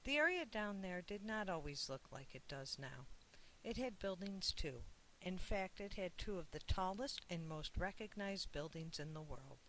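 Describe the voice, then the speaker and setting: An author reading a children's picture book aloud in a measured, expressive way. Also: mid-range voice, slight background noise, poor audio. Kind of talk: scripted picture-book narration.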